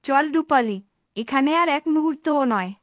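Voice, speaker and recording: A woman's voice: high-pitched, lively intonation, moderate pace, no room sound, studio quality. A woman voicing a firm older sister. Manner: firm, decisive. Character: resolute older sister, hotel cook.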